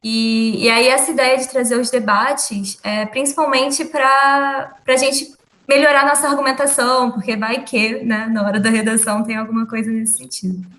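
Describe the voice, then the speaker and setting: A young woman teaching an online class in a relaxed way, full of fillers and laughs. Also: fairly high pitch, normal speed, echoey room. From Rio de Janeiro, Brazil. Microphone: video call.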